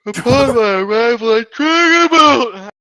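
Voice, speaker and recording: A man voicing a proud wounded griffin in a formal, halting storytelling manner, on a dull home microphone. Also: extremely high pitch, lively intonation, sharp tone, dry recording, pitched above the performer's own voice, full character voice. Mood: solemn, strained.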